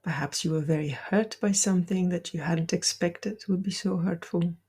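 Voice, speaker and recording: A woman leading a guided meditation, giving gentle, deliberate instructions with soft, breathy phrasing. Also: lowish voice.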